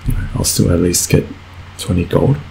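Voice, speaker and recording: A man with a croaky voice from a cold, talking casually through a video game and rambling with fillers. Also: deep voice, moderate pace, warm tone, a little hiss or hum, rough recording. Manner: rambling, self-correcting, frequent fillers. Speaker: let's play gamer. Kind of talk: casual gameplay commentary.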